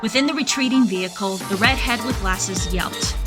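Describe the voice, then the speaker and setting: A woman's voice: medium pitch, normal speed. A woman narrator reading a scripted dramatic story for a radio play. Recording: loud music under the voice. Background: loud music.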